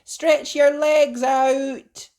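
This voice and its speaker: Reader putting on a kind mummy voice, lilting n encouraging, goes up high, kids book. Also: little pitch movement, very slow, darkish tone, exaggerated voice. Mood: instructing. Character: encouraging, caring mother of young children.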